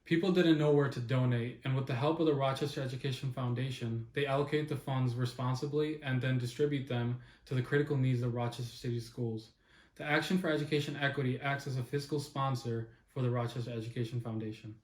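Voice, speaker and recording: A man reads prepared narration as a presenter. His voice sits at an average pitch, with clear room echo around it. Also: fairly even intonation, fast, darkish tone. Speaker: presenter and table read narrator. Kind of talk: scripted narration. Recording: roomy echo.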